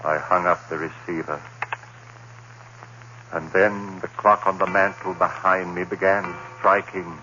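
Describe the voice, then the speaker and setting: An actor playing a troubled, haunted man in an old-time radio horror drama, with a brooding, halting delivery. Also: low-pitched. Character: troubled, haunted man narrating his own descent into dread. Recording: dull old radio recording with hiss and hum.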